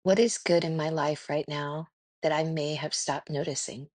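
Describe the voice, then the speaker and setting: A woman leading a guided meditation in a gentle, soothing, unhurried way with pauses. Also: low-pitched, steady tone, no room sound.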